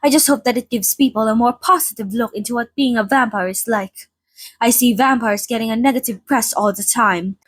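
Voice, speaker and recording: A genteel female vampire, played by a woman, chats with her interviewer in an intimate ASMR roleplay. Her voice sits on the high side and is recorded bone dry. She is polite and a little formal, with gently wry, old-fashioned turns of phrase. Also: fairly quick, slightly rough, dry recording. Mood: friendly, courteous. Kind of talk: ASMR roleplay, in-character monologue to listener.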